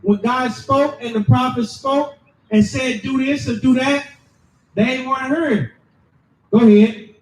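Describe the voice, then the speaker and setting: A man preaching loudly and emphatically, calling for scripture readings and explaining them to a crowd. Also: extremely high pitch, fairly even intonation, measured pace, long pauses, echoey room, distant mic, rough recording. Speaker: street preacher. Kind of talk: shouted sermon with scripture reading.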